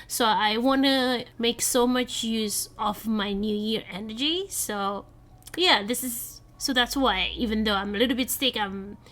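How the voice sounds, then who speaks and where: A woman's voice: a little high, measured pace, a bit bright. A young woman with a congested voice, chatting casually off camera as she walks viewers through her planning template. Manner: chatty, rambling, giggly. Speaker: vlogger. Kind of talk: casual voice-over walkthrough. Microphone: voice-over, off camera.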